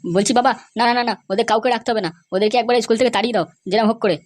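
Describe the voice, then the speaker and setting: A woman's voice: on the high side, rapid-fire, close to an everyday voice. A woman voicing a spiteful, whining schoolboy son who keeps insisting in a comedy cartoon. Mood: spiteful, insistent.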